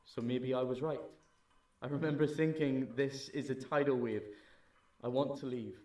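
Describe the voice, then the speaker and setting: Heard through roomy echo and some distance from the mic, a man recites poetry. His pitch sits in the middle range. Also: steady tone, normal speed, darkish tone. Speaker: poetry reader. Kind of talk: spoken-word poetry recital.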